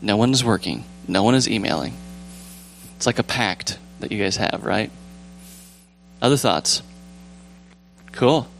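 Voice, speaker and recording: A young man with a calm, relaxed voice leading a casual church discussion, rambling gently with fillers and restarts. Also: on the low side, unhurried, creaky, long pauses, slight background noise, low-quality recording. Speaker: church group leader. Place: church sanctuary with pews.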